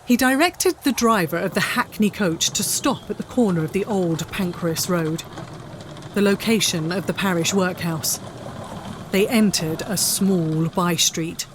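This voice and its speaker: A woman giving measured, literary narration for a dramatised story. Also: fairly low pitch, background noise.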